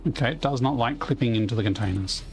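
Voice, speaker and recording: Loud-voiced man rambling through a game stream. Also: medium pitch, fast, faint music behind. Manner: rambling, chatty, reads chat aloud. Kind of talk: casual live-stream chat while playing.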